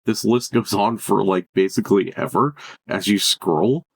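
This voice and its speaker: A man presenting a software tutorial in a casual, unscripted, chatty and enthusiastic way. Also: fairly low pitch, normal speed, vocal fry, breathy edge.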